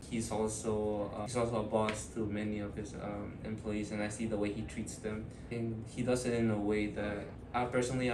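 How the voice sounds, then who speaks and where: A young man in his early twenties from Ecuador, answering interview questions in a thoughtful, hesitant way with many pauses and fillers. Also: lowish voice, reverberant, far from the mic, background noise. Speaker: student interviewee.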